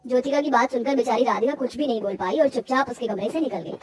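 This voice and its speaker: A woman's voice: fairly high pitch, fast talker, husky. A woman storyteller giving scripted narration for a cartoon moral story.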